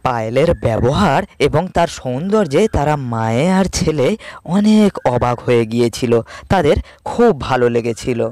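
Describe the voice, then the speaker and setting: A man's voice: medium pitch, lively intonation, moderate pace, a little mellow. A man narrating a scripted cartoon moral story as its storyteller. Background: faint music.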